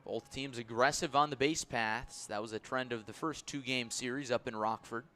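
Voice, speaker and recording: A man's voice: medium pitch, steady tone, normal speed, sharp tone, soft background music. A man doing live baseball play-by-play commentary for a radio broadcast.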